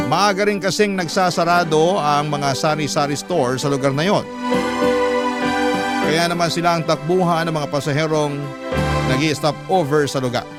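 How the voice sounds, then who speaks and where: A man narrating dramatically as a radio storyteller, reading a listener's letter. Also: fairly high pitch. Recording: music playing behind the voice. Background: instrumental music.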